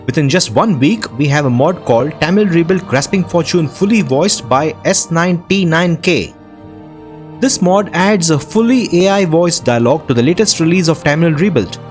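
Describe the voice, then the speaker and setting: A man's voice: a little high, lively intonation, moderate pace. A man hosting gameplay commentary on game mods in an enthusiastic, appreciative way. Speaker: host of a game modding news show. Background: prominent game music.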